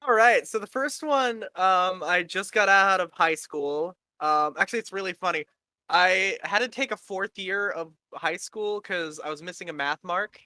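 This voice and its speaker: A man's voice: pushed and bright, clear, resonant tone, no room sound. A man in his twenties from Canada, hosting a casual chat and rambling with swearing and laughs. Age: 20s. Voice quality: high-pitched for a man. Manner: rambling and profane, quick to laugh. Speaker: podcast host.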